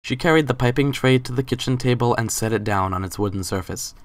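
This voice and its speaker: A man's voice: medium pitch, quick pace, no room sound. A man narrating a scripted story as a dramatic reading.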